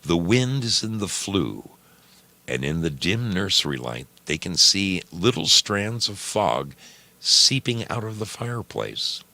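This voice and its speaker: Very deep, gravelly man telling a story. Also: big pitch swings, sharp tone, dry recording. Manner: measured, dramatic phrasing. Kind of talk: expressive audiobook-style story narration.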